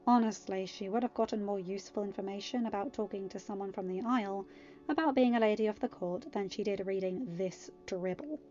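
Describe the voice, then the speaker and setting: A woman reading a story aloud as an expressive amateur podfic narrator. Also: medium pitch, brisk, muffled recording. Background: music.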